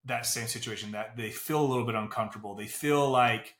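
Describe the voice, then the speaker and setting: An earnest man hosts a casual podcast chat, often checking in with "right?" and calling his guest "bro". His voice is bright and forceful. The recording has a noticeable roomy echo. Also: mid-range voice. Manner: earnest, fast, says "bro" and "right?" a lot. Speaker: podcast host.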